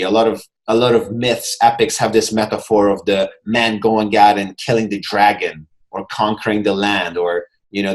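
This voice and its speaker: A man's voice: fairly low pitch, rough recording. Reflective young Hungarian man on a call. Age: 20s. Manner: earnest, reflective, frequent restarts. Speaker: guest, men's coach. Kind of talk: casual interview chat. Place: home room, video call. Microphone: video call.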